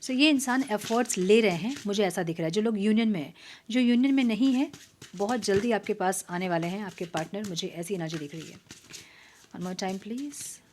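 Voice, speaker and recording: A woman hosting a tarot reading, slightly hoarse, talking casually and dropping to near-whispers at times. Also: mid-range voice, normal speed, slight background noise. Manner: soft, mixing Hindi and English, drops to near-whispers while shuffling. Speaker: tarot reader.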